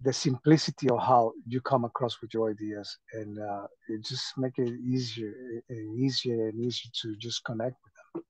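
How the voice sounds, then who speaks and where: A man from Venezuela talking casually on a group video call, haltingly, often repeating his phrases. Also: mid-range voice, fairly even intonation, no room sound. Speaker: call participant. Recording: dull lo-fi call audio.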